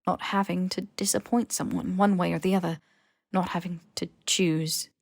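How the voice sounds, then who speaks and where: A woman reading fan fiction as expressive scripted narration, with emotive, dramatic phrasing. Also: lowish voice, normal speed, vocal fry, dry recording. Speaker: podfic reader.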